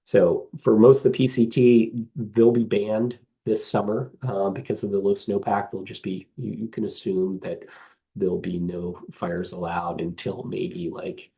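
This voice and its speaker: A hoarse man giving a webinar talk as staff of a trail association, earnest, with frequent ums and uhs. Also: lowish voice, flat delivery, unhurried, mellow, poor audio. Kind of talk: webinar presentation. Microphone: video call.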